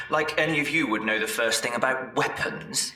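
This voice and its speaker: A man's voice: mid-range voice, normal speed, sharp tone, far from the mic. A man playing a scornful, impatient man who belittles women, curt and sneering in an audio drama. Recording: echoey room, away from mic.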